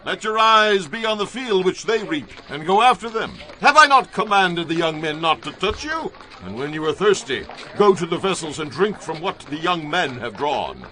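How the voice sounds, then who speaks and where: An actor playing a wealthy landowner, kindly and commanding, expressive in a dramatized audio Bible. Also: male voice, high voice, normal speed, full character voice. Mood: protective. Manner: authoritative, expressive.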